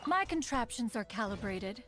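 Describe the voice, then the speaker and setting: A woman's voice: on the high side, big pitch swings, moderate pace. An actress playing a haughty, refined adeptus woman in a video game, speaking formally and imperiously. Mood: proud. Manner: formal, imperious, archaic wording. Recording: game audio under music and chatter.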